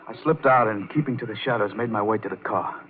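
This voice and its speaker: An actor playing an anxious, self-justifying piano player who narrates a crime story in a tense, confessional, pleading way, in old-time radio drama style. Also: male voice, fairly low pitch, fast, heavy background noise, natural voice. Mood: tense, urgent. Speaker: narrator and lead character. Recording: muffled vintage radio recording with music.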